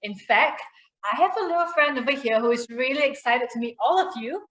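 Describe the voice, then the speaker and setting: A woman's voice: high-pitched, animated, a little fast, a bit bright. A woman hosting a children's show, addressing the young audience in an exclamatory way. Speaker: puppet show host. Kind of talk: children's live show presenting. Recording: roomy, echoing space.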